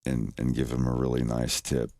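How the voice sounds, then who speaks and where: A man's voice: rumbling low, little pitch movement, normal speed, vocal fry, warm tone, no room sound, clean studio sound. A man chatting casually on his livestream, rambling and chuckling in a self-deprecating way, into a desk microphone at home. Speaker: streamer, animator.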